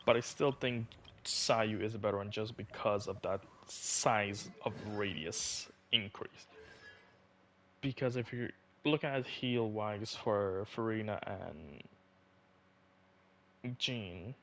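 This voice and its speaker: A man's voice: lowish voice, slow, fairly bright tone. A man giving casual live commentary as a game streamer. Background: game music behind the voice.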